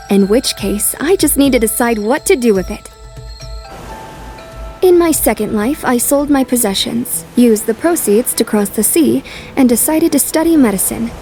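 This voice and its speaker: A woman plays a composed young noblewoman in an anime dub, recalling her past lives in measured narration. The voice is slightly high and mellow, with faint music behind it. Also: lightly acted.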